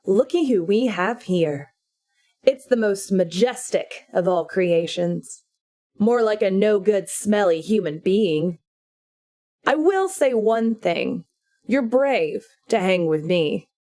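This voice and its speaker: A woman voicing a mischievous male devil who taunts and mocks the listener loudly. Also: medium pitch, animated, slow. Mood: taunting. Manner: taunting, loud and sly.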